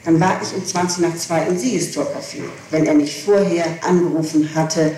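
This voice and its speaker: A woman's voice: on the low side, fairly even intonation, normal speed, dark tone. A woman answering questions in a recorded police interrogation, explaining herself insistently. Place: echoey room, far from the microphone. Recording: echoey distant archival tape with hiss.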